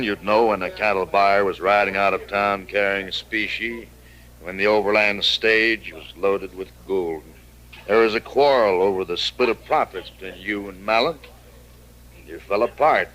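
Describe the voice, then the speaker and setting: An American actor playing a cynical, world-weary gunfighter sheriff in an old-time radio western, speaking tersely and fatalistically. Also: male voice, on the low side, bright, forceful tone, poor audio. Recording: vintage western radio drama recording.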